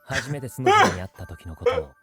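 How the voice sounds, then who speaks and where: A man's voice: medium pitch, wildly swooping pitch, rapid-fire. A man voicing a reflective teenage boy narrating memories in an anime monologue. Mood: nostalgic, fond. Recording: music under anime voice-over.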